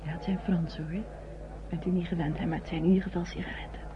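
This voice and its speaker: An actress plays a brave young woman helping refugees in a vintage radio drama. Her voice is fairly low, gentle and practical. The old recording sounds muffled, with clear background noise. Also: fairly even intonation, quick pace, close to an everyday voice. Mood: confiding. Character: brave, helpful young Frenchwoman aiding refugees. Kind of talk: old Dutch radio play acting.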